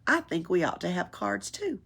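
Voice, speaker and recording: A woman's voice: fairly low pitch, very animated, moderate pace, creaky, sharp tone, breathy edge, full character voice. A woman voicing an earnest, polite, slightly doubtful young boy in a storybook, in a matter-of-fact manner.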